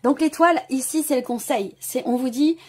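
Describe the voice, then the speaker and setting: A woman with a clearly high-pitched voice talks the viewer through a tarot reading. She searches for the right word as she goes, often stopping to put the same idea another way. The delivery is unscripted and addressed directly to the listener. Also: moderate pace. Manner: hesitant, searching for words, often rephrasing. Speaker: tarot reader, channel host.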